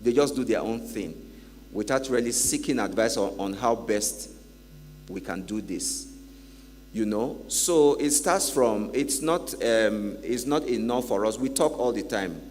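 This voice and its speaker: A man with a fairly low voice speaks on a conference panel. He talks emphatically, repeating phrases and leaning on "you know". The sound carries long hall reverb over a faint mains hum. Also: animated, unhurried, darkish tone. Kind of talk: panel discussion remarks.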